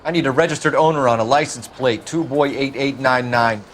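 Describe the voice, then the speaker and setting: An actor playing an earnest, self-assured by-the-book police detective in a crime drama game, speaking formally and precisely. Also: male voice, mid-range voice, brisk, fairly bright tone, a little hiss or hum, close to an everyday voice. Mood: stern, clipped.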